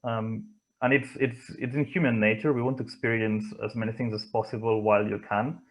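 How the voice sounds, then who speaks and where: Low-voiced man with a Spanish accent. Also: flat delivery. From Barcelona area, Spain. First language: not English, from Spain. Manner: hesitant, thoughtful, pausing often. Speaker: interview guest, motorsport engineer. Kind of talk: reflective interview answers.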